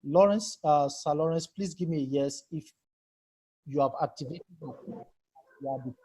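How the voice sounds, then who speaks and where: Man, workshop trainer, video call, husky, mellow, slightly high-pitched, patient, checks in by name. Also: normal speed, poor audio. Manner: patient, asks participants for feedback by name. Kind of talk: live screen-share tutorial. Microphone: computer mic on a video call.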